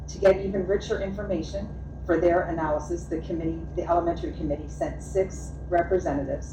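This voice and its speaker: A woman giving a measured, businesslike update at a school board meeting. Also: lowish voice. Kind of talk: meeting report. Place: meeting room. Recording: echoey room, far mic, noisy.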